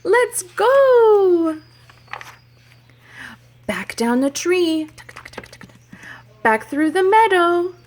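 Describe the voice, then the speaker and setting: Slow, animated woman entertaining toddlers. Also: extremely high pitch. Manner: slow, animated, simple child-directed phrasing. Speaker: childcare educator reading and singing to toddlers. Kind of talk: sing-song picture book storytelling and play for toddlers.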